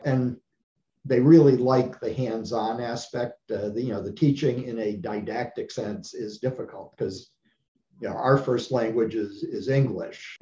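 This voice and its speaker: A man from the United States speaks in a mellow, relaxed tone, explaining at a measured pace with many pauses to search for words. His voice sounds roomy and a little dull, with a faint hum of ambience behind it. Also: mid-range voice. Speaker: ophthalmologist guest. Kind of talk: conversational interview.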